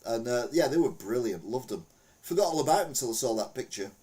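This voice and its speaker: A British man in the host's chair, reading oddball news stories and cracking wry jokes between them. He rambles, restarts and fills gaps with "uh", at a middling pitch, sitting a little back from the mic. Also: animated, fast talker, warm tone, recorded from a distance. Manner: wry, jokey, rambling with many fillers. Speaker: podcast host. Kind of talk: casual news commentary.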